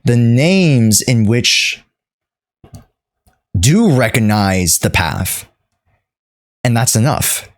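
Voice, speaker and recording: A man talking off the cuff to his livestream chat, halting and repeating himself as he searches for words. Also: mid-range voice, lively intonation. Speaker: streamer. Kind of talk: unscripted livestream commentary to chat.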